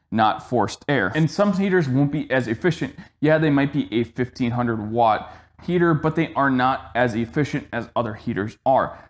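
A young man giving an informal explainer to camera as the host of a how-to video, recorded in a garage. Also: medium pitch. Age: young adult. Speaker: host of a home gym tips video. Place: indoors in a garage converted to a gym.

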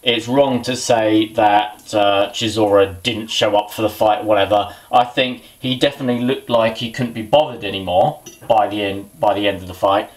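A man's voice: on the low side, steady tone, normal speed, hardly any pauses, low-quality recording. A man, chatting casually about boxing while cooking in his small kitchen, rambling and muttering to himself, recorded on a camera's built-in mic. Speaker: boxing vlogger. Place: small home kitchen indoors.

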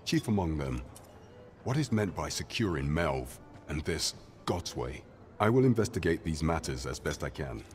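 A solemn, loyal counsellor to royalty in a fantasy game. His voice is extremely low and his address is formal and old-fashioned, over soft music. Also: big pitch swings, moderate pace. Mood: grave, pondering. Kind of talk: scripted fantasy game acting.

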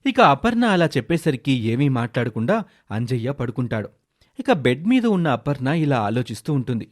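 A man's voice: mid-range voice, big pitch swings, normal speed. A man narrating a scripted moral story as the storyteller.

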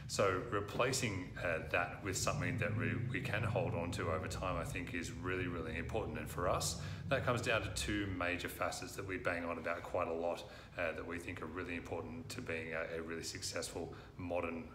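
An Australian man in his thirties, speaking earnestly to camera as a recruitment presenter, often pausing with "uh". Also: low-pitched, noisy background. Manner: earnest, frequent "uh" fillers. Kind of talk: direct-to-camera talk. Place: office room, indoors. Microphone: lapel mic. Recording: roomy office echo.

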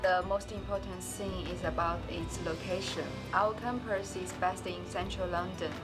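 A woman's voice: fairly low pitch, fairly even intonation, moderate pace, over loud music. A woman student in her twenties giving a short promotional testimonial. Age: 20s.

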